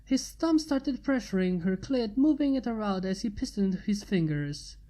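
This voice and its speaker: A woman's voice: medium pitch, animated, normal speed, dark tone. A woman reading fan fiction aloud as a narrator.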